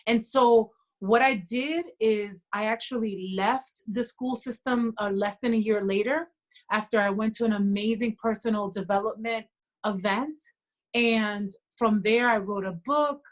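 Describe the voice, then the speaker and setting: A woman in her forties, a podcast guest, talking earnestly and reflectively with quiet conviction. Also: on the high side, a little slow. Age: 40s. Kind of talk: casual conversation.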